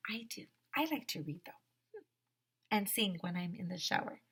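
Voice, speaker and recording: A woman's voice: on the low side, expressive, normal speed, noisy background. A woman teacher reading a picture book aloud to young children, expressively, with exaggerated emphasis and questions put straight to the listeners. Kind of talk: animated storybook read-aloud with asides and questions to young listeners.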